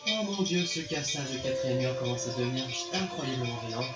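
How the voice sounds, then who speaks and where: Sarcastic, fed-up game narrator character, acted by a man, echoey sound with loud music behind. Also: mid-range voice, expressive, fairly quick, distant mic, close to an everyday voice. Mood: scornful. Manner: exasperated, scornful. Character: jaded, sarcastic storybook narrator intruding in a dream.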